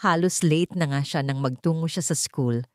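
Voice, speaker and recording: A woman's voice: deep voice, normal speed, no echo, clean studio sound. A woman narrating a scripted dramatic story as an audiobook narrator.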